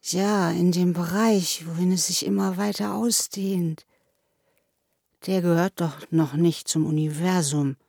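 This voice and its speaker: A woman's voice: lowish voice, fairly even intonation, unhurried, gravelly, darkish tone, no room sound, studio quality. A woman voicing a drunk, despairing young male student in an audiobook, plaintive and childish, with slurred, tearful speech. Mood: childlike wondering. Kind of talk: audiobook character acting.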